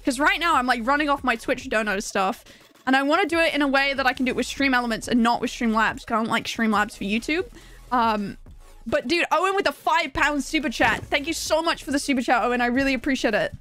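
A young English woman streaming a game, talking fast and chatty with frequent laughs. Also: high voice, bright, forceful tone, soft background music. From England. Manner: fast, bubbly, laughs often. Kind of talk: casual live-stream chat.

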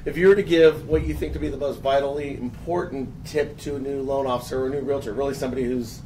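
A man in his thirties with a gravelly, hoarse voice, hosting a casual panel discussion with restarts and fillers. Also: mid-range voice, fairly even intonation, dark tone. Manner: casual, halting, lots of restarts. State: hoarse from a long three days. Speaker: host. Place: office room with a panel. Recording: distant mic, noisy.

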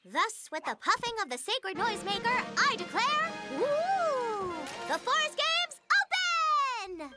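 Young female fox, very high voice, animated, cheerful, encouraging, announcer-like, children's cartoon. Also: slow pace, few pauses, full character voice. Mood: announcing. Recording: music bed behind the voice.

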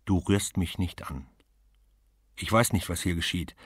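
A man's voice: low voice, measured pace, slightly rough, no echo, clean studio sound. A man reading aloud and voicing a frightened, distraught wife near breakdown, speaking in an agitated, pleading way.

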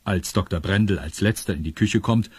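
A man's voice: low-pitched, a little fast, breathy edge. A man narrating a children's audio drama in a scripted storytelling style. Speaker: narrator of a children's radio play.

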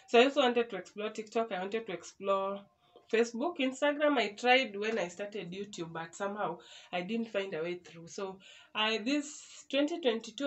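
A young woman from Kenya with a Kenyan accent, chatting casually to the camera in a Q&A vlog from a small room. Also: medium pitch. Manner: chatty, animated hand gestures. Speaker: YouTuber. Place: small indoor room, in front of a door.

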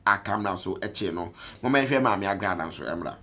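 A man's voice: sits low, lively intonation, moderate pace, very noisy. A young man with a Ghanaian accent, hosting reaction commentary and mixing Twi with English in a conversational way. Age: young adult. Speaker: online show host. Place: small room indoors.

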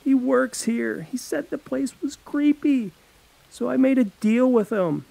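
A man's voice: very high-pitched, unhurried, vocal fry, dark tone, pitched above the performer's own voice, heavily acted. A man voicing a panicked, guilt-ridden seventeen-year-old boy in a read-aloud horror story. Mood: guilty confessing.

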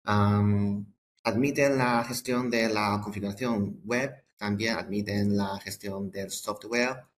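A man presenting products in a webinar, halting and hesitant, often repeating words and breaking off phrases. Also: on the low side, fairly even intonation.

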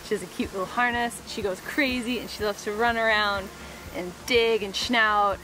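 A woman's voice: mid-range voice, steady tone, moderate pace, music in the background, poor audio. Chatty young woman vlogging on a trail. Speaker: sailing vlogger. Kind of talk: casual vlog talk while walking. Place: outdoors on a jungle trail.

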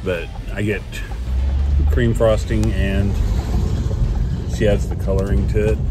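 A man in his forties with an American accent, chatting casually and thinking aloud as he vlogs from inside a car. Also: fairly low pitch. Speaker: vlogger. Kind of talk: casual vlog chat. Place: inside a car while driving. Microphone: camera or phone on the dashboard.

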